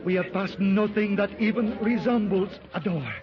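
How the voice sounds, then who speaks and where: A man playing an excitable Frenchman. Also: high-pitched, moderate pace, background noise, lightly acted. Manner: exclaims in French, flustered. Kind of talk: 1930s radio drama acting. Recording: dull lo-fi 1930s radio recording.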